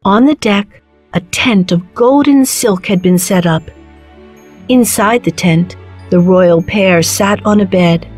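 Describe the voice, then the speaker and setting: A woman narrating a children's fairy tale in a measured, clearly phrased storytelling manner. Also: medium pitch, a little mellow. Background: background music.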